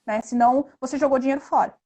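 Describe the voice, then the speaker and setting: A woman's voice: high-pitched, steady tone, fast talker, mellow. A Brazilian woman speaking as an interview guest, explaining things earnestly and conversationally, often ending phrases with a tag question. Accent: Brazilian Portuguese. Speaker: interview guest, investment instructor.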